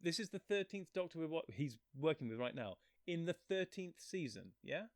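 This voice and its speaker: A man in casual, opinionated chat as a guest, griping in an animated, blunt and sweary way. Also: fairly high pitch, normal speed, no echo, clean studio sound. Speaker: guest, fan-fiction author.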